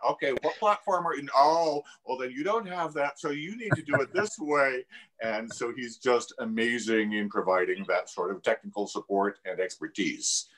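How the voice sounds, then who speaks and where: A man speaking as an interview guest, explaining things in a deliberate, wry way. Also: mid-range voice, a bit bright. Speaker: cast member and interview guest.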